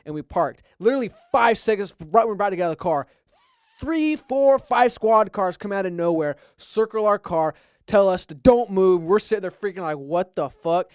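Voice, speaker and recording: High-voiced young man, smooth and rambling. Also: lively intonation, normal speed, a little mellow, no room sound, studio quality. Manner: rambling, restarts, quotes himself. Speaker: podcast co-host. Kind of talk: casual storytelling chat.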